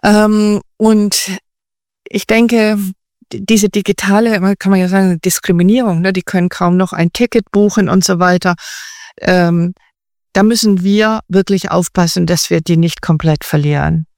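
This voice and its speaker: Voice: mid-range voice, fairly even intonation, a little slow, darkish tone, no echo, studio quality. An interview guest answering in a chatty way, thinking aloud with many fillers and restarts. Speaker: guest expert on AI.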